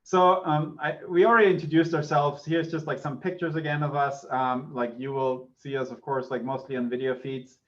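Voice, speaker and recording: A man's voice: on the high side, little pitch movement, moderate pace, hardly any pauses, highs cut off. A middle-aged man from Austria, a native German speaker with an Austrian accent, giving an informal online university lecture. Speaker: university lecturer, associate professor.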